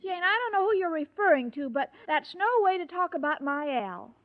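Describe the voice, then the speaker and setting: A woman's voice: very high-pitched, animated, fairly quick. An actress playing a sweet, naive, dim-witted young woman in a 1940s radio comedy, guileless and earnest. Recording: muffled 1940s radio broadcast.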